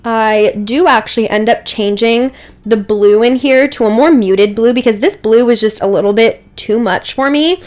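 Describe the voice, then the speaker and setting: A woman's voice: on the high side, a little mellow. A young woman getting over a cold, her voice a little stuffy and dry, chatting casually over a game build with many asides and "like"s. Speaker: Sims build creator.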